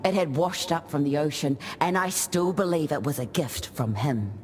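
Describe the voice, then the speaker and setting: A man voicing an easygoing island warrior in a video game, speaking in a measured, reflective and lyrical way. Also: fairly high pitch, a little hiss or hum, close to an everyday voice. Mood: reverent. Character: easygoing, graceful island warrior spirit who dances through battle. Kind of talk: scripted game character monologue.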